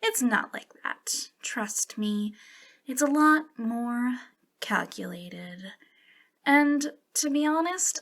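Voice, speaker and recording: A woman's voice: high-pitched, fairly bright tone, full character voice. A woman playing a centuries-old, youthful-looking witch in an ASMR roleplay, confiding coyly and hesitantly with a giggly, sinister playfulness. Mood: indignant. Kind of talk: ASMR roleplay monologue addressed to the listener.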